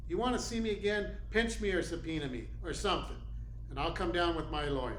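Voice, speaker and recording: A man's voice: a little high, quick pace, fairly bright tone, close to an everyday voice. A man playing a tough, cynical, wisecracking private detective in a radio play, with blunt, slangy delivery. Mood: defiant, sardonic. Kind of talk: hardboiled radio play acting. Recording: echoey room, faint noise.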